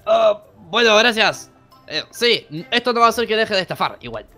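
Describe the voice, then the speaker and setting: Gamer putting on a stubborn babbling swindler villager from a game, stammery, sharp bright voice, way lower. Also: very animated, normal speed, soft background music. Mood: stubborn, flustered. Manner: stammering, repeats syllables, defiant.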